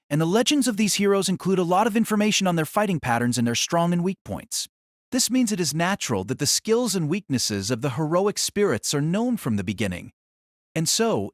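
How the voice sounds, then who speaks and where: Narrator for an audio drama: man, slightly high voice, very varied melody, echo-free sound. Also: studio quality.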